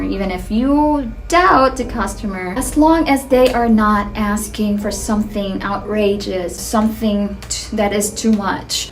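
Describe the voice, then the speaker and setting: A woman in her thirties from the Philippines with a Filipino accent, talking casually to camera in an earnest, chatty way with light laughs and restarts. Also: medium pitch. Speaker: vlogger.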